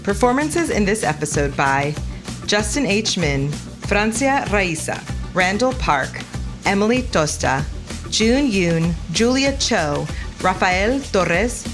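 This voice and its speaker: A woman announcer reading a podcast's production credits in a clear, measured way. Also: mid-range voice, very animated. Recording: music bed under voice.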